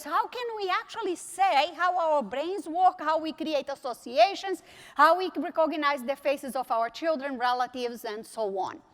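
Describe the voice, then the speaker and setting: Woman, Brazilian accent, high voice, keynote speaker, reverberant hall. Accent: Brazilian Portuguese. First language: Portuguese. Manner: explanatory, wry asides, emphatic repetition. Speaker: technology executive keynote speaker. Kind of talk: conference keynote speech. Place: large conference hall.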